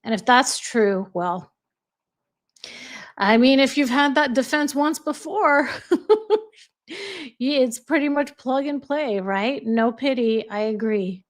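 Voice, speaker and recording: A chatty American woman hosting a livestream in a relaxed, conversational way. Her voice sits a little higher than most women's. She reads comments aloud and laughs easily between thoughts. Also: slow pace, pauses often. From United States. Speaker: livestream host. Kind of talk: casual livestream chat reading viewer comments.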